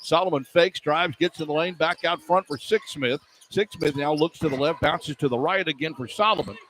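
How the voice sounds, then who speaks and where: A man's voice: a little high, moderate pace, fairly bright tone. A man calling live basketball play-by-play for radio in clipped, running phrases from an indoor arena. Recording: live arena broadcast with background noise. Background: faint arena noise.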